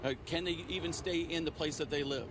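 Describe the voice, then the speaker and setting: A man's voice: a little high, steady tone, brisk. A man, a union leader, making an urgent public statement outdoors amid traffic. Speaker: union president. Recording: loud outdoor traffic noise.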